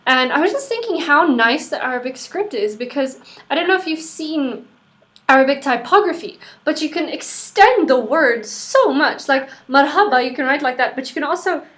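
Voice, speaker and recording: A woman hosting a casual interview over a laptop video call, chatty and quick to laugh. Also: high-pitched, expressive, fairly bright tone. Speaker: host of the interview series.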